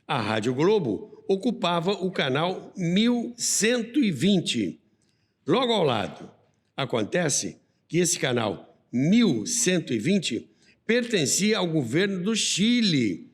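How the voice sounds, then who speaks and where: A Brazilian man narrates radio history in a slow, lively voice that rises and falls widely. He moves between scripted storytelling and asides to the listener, and a long reverb trails his words. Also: fairly high pitch, breathy edge. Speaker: podcast host and narrator. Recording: long reverberant hall sound.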